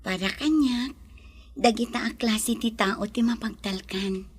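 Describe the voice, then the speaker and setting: A woman playing a gentle, sickly lady from the city in a radio drama, with a breathy, husky voice and an Ilocano accent. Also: on the high side, a little slow, lightly acted. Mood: reflective. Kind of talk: radio comedy drama acting.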